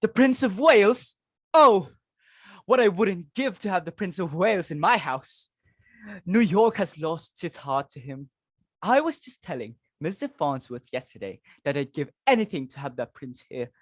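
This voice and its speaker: A woman's voice: on the low side, lively intonation, normal speed, no echo. A woman playing a fussy, social-climbing society hostess and mother, exclaiming, fretting and giving orders in a dramatic reading. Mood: exasperated, gushing. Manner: exclamatory, fretful, commanding.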